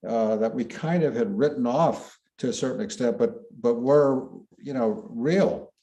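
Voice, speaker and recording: Filmmaker man, warm dark tone, measured and deliberate speaker. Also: medium pitch. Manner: measured, searching for words. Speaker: filmmaker, panelist. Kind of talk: panel discussion.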